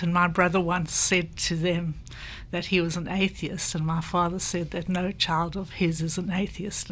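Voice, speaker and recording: An older woman who grew up in South Africa, a panel guest reflecting in a measured way with pauses. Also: lowish voice, fairly bright tone. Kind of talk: personal testimony in a panel discussion.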